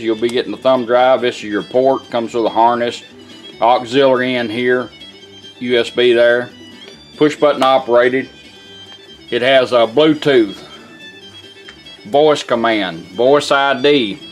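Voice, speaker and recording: Folksy man demonstrating, music behind. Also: medium pitch. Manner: plain-spoken, folksy, informal phrasing. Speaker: product demonstrator, radio restorer. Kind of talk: casual hands-on product demonstration. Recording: music playing from a radio behind.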